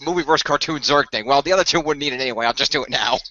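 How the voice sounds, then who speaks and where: Fast-talking man with a bright, forceful voice. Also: mid-range voice. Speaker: co-creator and host of an improvised radio play. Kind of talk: casual post-game chat. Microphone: online voice call. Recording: slightly muffled voice-chat audio.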